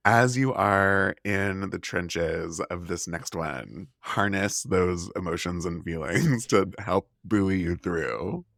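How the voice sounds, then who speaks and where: A man's voice: deep voice, lively intonation, slow, vocal fry, hardly any pauses, fairly bright tone, no echo, studio quality. A man hosting a conversational interview podcast in an encouraging, affirming manner. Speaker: podcast host.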